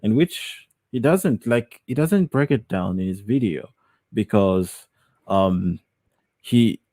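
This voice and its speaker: Low-voiced man hosting a live stream. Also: animated, takes it slowly, warm tone, no echo, clean studio sound. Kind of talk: casual chat.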